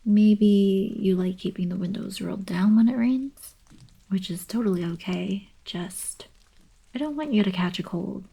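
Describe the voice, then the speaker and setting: A young woman playing a friendly, eager alien princess in an ASMR roleplay, soft and whispery, with casual girlish coaxing. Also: mid-range voice, unhurried, creaky, breathy edge, low-quality recording. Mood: gently concerned. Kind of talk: ASMR roleplay, intimate acted monologue.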